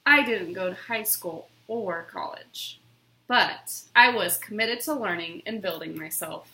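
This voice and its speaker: A woman giving an expressive, theatrical dramatic reading of a children's book. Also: medium pitch, unhurried. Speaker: storybook reader. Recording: roomy, echoing room sound.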